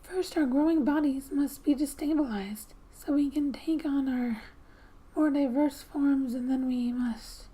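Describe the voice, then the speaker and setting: A woman narrating a game in soft-spoken ASMR style, often dropping into a breathy whisper, reading gently like a storybook with quiet asides. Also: sits high, unhurried, low-quality recording. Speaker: gaming ASMR video host.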